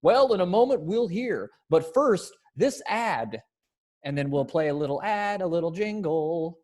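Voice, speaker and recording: A man's voice: high voice, sing-song, a little slow, darkish tone. An American man in his thirties casually directing readers on a video call. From United States, Springfield, Missouri. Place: indoor room, on a video call.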